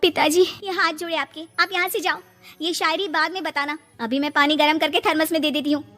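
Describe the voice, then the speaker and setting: A woman's voice: high voice, fairly even intonation, brisk, sharp tone. A woman voicing an irritable, dramatic young daughter-in-law in a family comedy story, exclaiming and complaining. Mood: irritated. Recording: long reverb, music behind.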